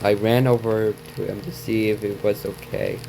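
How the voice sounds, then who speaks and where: Low-voiced man reading haltingly through heavy noise. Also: flat delivery, vocal fry. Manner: halting reading, stumbling over words and repeating them. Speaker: reaction video host. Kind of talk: reading on-screen story text aloud with asides.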